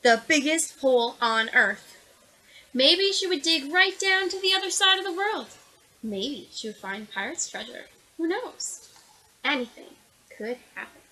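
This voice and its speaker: Woman storyteller, picture-book read-aloud, bright, higher voice, very lively pitch. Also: unhurried, rough recording. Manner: animated, expressive.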